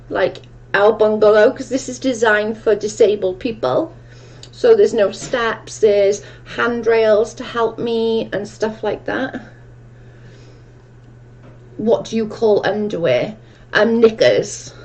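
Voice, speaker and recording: Welsh lady on a livestream, slow easy pace, reads out comments and chats on about them. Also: mid-range voice, darkish tone, muffled recording. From Wales. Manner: chatty, reads out comments and answers them at length. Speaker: livestream host. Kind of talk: casual chat answering viewer comments.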